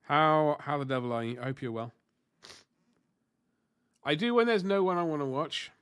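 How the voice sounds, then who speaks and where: A man's voice: fairly high pitch, big pitch swings, normal speed, fairly bright tone, clean tone. Stuffy man chatting on stream. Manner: rambling, self-correcting, mild swearing. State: stuffy and sore-throated from flu. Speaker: livestream host painting miniatures. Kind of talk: casual chat answering viewer comments.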